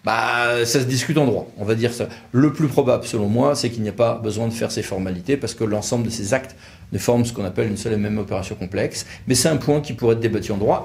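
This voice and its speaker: A man with a French accent from France speaks at an average male pitch. He delivers an educational explainer like a lawyer teaching an audience on video, conversational yet didactic, stressing his key words. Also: fast talker, a little mellow. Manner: didactic, conversational, emphatic, acting out examples. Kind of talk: educational legal explainer to camera.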